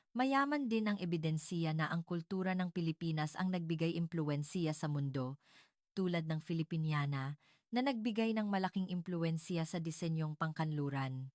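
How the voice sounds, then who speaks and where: Low-pitched woman narrating a story, dry sound. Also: animated, normal speed, no echo. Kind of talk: scripted dramatic first-person story narration.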